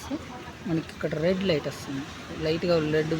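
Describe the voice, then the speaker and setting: A man's voice: fairly high pitch, steady tone, fairly quick, very noisy. A man gives an informal, step-by-step product demonstration, often repeating phrases as he instructs. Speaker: product unboxing presenter.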